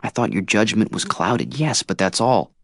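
A man's voice: lowish voice, big pitch swings, moderate pace, creaky, dry recording, clean studio sound, pitched above the performer's own voice, full character voice. A man voicing a defensive young man arguing hotly with his girlfriend. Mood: earnest. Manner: heated, rising to shouting.

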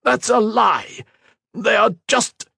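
A man's voice: medium pitch, lively intonation, slow pace, gravelly, fairly bright tone, breathy edge, no room sound, clean studio sound, pitched above the performer's own voice, exaggerated voice. A man doing a desperate pleading scientist. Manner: pleading, strained, rising to outbursts. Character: anguished male scientist pleading for his creation.

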